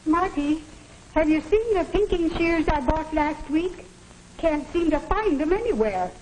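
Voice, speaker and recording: A fretful middle-aged mother in a 1950s educational film. Her voice is high and very expressive, anxious and plaintive as she fusses. The old soundtrack carries some noise. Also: unhurried. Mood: concerned. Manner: anxious, plaintive, scolding gently. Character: worried, fussing middle-aged mother of a teenage boy.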